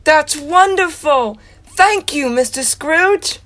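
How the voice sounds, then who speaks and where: A woman's voice: high voice, animated, takes it slowly, a bit bright, full character voice. A teenage girl voicing an eager, bubbly small boy in a school stage play. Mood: excited. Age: teens. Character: excited small sickly boy, joyful.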